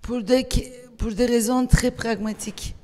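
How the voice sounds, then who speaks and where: A woman speaking French with a Turkish accent and a rather high voice, telling her story with many fillers and restarts that break into animated bursts. Her voice rings out with a long reverb, as if across a large hall. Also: measured pace. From Turkey. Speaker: panel guest, writer. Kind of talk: panel discussion. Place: festival hall.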